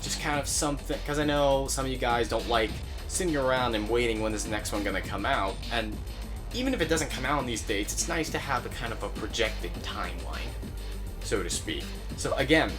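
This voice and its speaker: A man's voice: medium pitch, expressive, brisk. Young man giving a casual update over music. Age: young adult. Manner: informal, explains step by step. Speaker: online audio series creator and host. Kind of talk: casual explanatory channel update. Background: background music.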